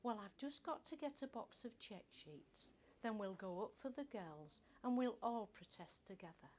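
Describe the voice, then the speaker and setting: A woman's voice: a little high, lively intonation, moderate pace, darkish tone, slight background noise, natural voice. A woman reading a story plays a loyal, take-charge young female co-worker who speaks emphatically. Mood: resolute.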